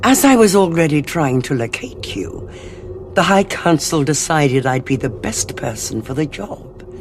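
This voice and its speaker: A woman playing a composed emissary of a high council in a science fiction audio drama, measured, formal and dryly ironic. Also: big pitch swings, a little hiss or hum. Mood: formal, stern.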